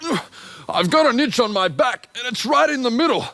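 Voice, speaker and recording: A big itchy groaning hippo. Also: male voice, big pitch swings, vocal fry, heavily acted. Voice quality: deep voice. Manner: groaning and slow, drawn-out. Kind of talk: children's TV acting. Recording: loud music underneath.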